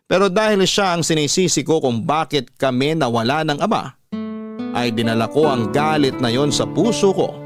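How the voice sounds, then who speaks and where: A man with a Filipino accent narrating a first-person story in a measured way as a radio drama host. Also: mid-range voice. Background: music.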